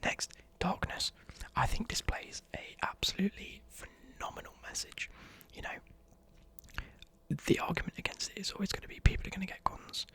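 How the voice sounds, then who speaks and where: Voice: medium pitch, moderate pace, fairly bright tone, husky. A young adult whispering a casual, enthusiastic ASMR music review, swearing now and then and muttering lyrics under the breath. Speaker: ASMR vlogger.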